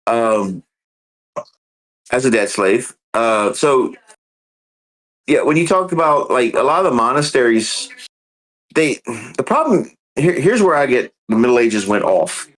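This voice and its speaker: A man's voice: mid-range voice, big pitch swings, unhurried, long pauses, a bit bright, low-quality recording. A man hosting a podcast, earnestly explaining a theological point step by step, with frequent ums and restarts. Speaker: podcast host. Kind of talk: casual theological discussion.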